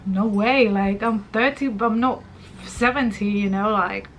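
A woman's voice: medium pitch, fairly even intonation, measured pace, a little hiss or hum. Chatty thirty-year-old woman vlogging from her bedroom. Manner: rambling, chatty, many fillers. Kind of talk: casual chat to camera. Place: bedroom, indoors. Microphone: camera at arm's length.

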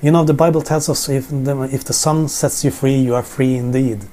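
A man's voice: mid-range voice, steady tone, normal speed, warm tone. A middle-aged Norwegian man with a Norwegian accent, teaching the Bible in English from his living room sofa, often repeating words as he searches for them. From Norway. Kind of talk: informal Bible teaching to camera. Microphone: camera in front, seated framing.

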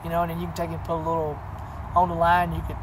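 A man's voice: fairly high pitch, completely flat delivery, rapid-fire. A man, casually showing fishing tricks to the camera outdoors, rambling with many fillers. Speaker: fishing channel host. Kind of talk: casual how-to demonstration. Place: outdoors by a pine wood. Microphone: camera close at arm's length. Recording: noisy outdoor camera audio.

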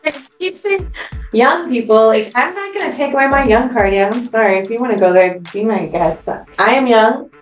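A woman's voice: a little high, animated, normal speed, reverberant, far from the mic, faint music behind. A woman in her thirties chatting playfully and insistently on a podcast. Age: 30s. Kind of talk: casual podcast chat.

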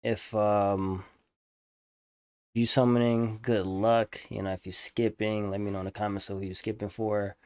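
A man's voice: lowish voice, little pitch movement, a little slow, a little mellow, no room sound, studio quality. A young man giving casual, slangy reaction commentary in a laid-back way. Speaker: reaction video host. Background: game trailer music.

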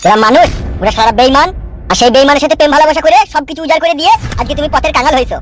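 Streetwise cartoon sidekick, acted out, young man, raised pitch, rapid emphatic scolding. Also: a little hiss or hum, lightly acted. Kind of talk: comedy cartoon dialogue.

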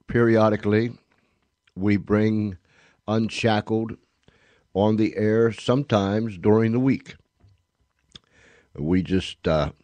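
A male radio host with a very low voice speaks slowly and deliberately. He delivers sign-off announcements in short phrases set apart by pauses. The sound is close and dry. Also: a little mellow, no echo.

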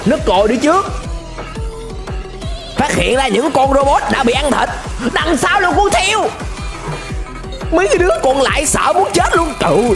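A man's voice: extremely high pitch, big pitch swings, moderate pace, long pauses. A young man with a southern Vietnamese accent giving playful, exclamatory reaction commentary as a host, tossing in English interjections at a close microphone. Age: young adult. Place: small indoor streaming setup. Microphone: close desk microphone. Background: music.

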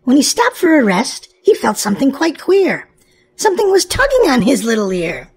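High, very animated woman reading to children. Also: moderate pace. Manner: exclamatory, addressing young children. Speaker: children's show host and storybook reader. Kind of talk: animated children's read-aloud narration.

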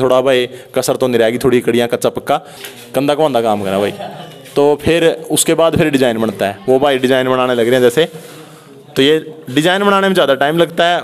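A man's voice: medium pitch, clear, resonant tone. A young man with a Haryanvi accent talks casually and chattily to viewers as a vlogger, filming on a handheld phone. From Haryana, India. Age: young adult. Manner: chatty, repeatedly addresses viewers as brother. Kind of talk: casual vlog commentary. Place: unfinished concrete house, indoors and at doorway.

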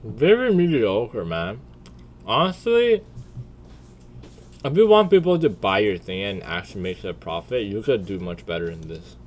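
Male gaming streamer: low-pitched, highly expressive, blunt, slangy, swears freely while reacting off the cuff, slight noise. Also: normal speed. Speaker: streamer and video creator. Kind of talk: casual reaction commentary.

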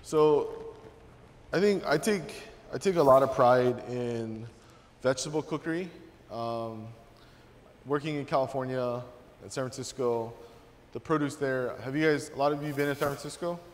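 A man's voice: mid-range voice, normal speed, long reverb tail. A man in his thirties from Hawaii, a guest chef demonstrating a dish to a class, talking casually with many fillers and restarts. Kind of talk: cooking demonstration talk. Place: large teaching kitchen.

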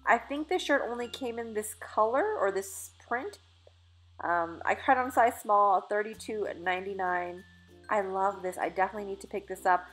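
A woman's voice: mid-range voice, a bit bright. A woman in her thirties chatting through a clothing try-on haul in a store dressing room, upbeat and casual with fillers and self-corrections. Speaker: fashion vlogger. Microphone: phone camera held in mirror.

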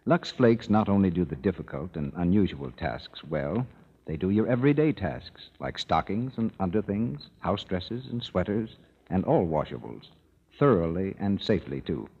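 A man announcing in smooth, salesy 1930s radio style. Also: deep voice, big pitch swings, normal speed. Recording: dull old broadcast with long reverb.

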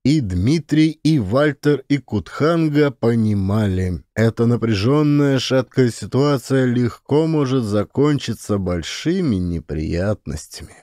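A man's voice: mid-range voice, expressive, unhurried, hardly any pauses, mellow, no echo, studio quality. A man reading a historical adventure audiobook as scripted narration.

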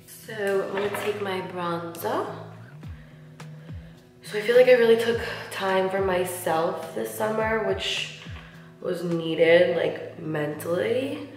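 A woman's voice: mid-range voice, slow pace, pauses often. Chatty woman in an echoey bathroom. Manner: chatty, lots of "like", soft asides while applying makeup. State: slightly nasal, getting over a cold. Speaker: beauty vlogger. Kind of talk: casual makeup tutorial chat. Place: large tiled bathroom, indoors. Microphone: camera mic at close range, seated facing camera. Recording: echoey bathroom with faint music.

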